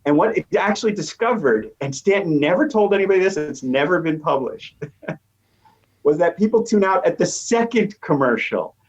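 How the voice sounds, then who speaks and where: A man's voice: sits high, animated, normal speed. A man explaining research as an academic guest in an interview, eager and emphatic, on lo-fi audio. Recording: dull, lo-fi remote audio.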